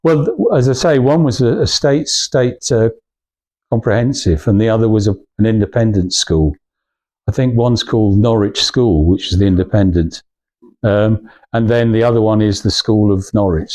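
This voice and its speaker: A man's voice: lowish voice, dark tone. Hesitant man giving an informal talk. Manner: hesitant, rambling, anecdotal, restarting phrases. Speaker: guest speaker at a club talk. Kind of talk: informal talk to a small audience.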